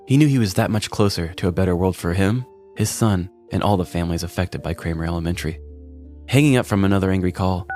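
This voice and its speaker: A man's voice: low voice, a little mellow. A man reading a scripted comic story as a podcast storyteller.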